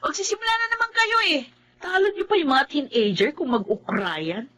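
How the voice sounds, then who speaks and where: A woman's voice: sits high, wildly swooping pitch, moderate pace, pushed and bright, exaggerated voice. An actress playing an exasperated female coworker who scolds two colleagues to stop bickering. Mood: scolding.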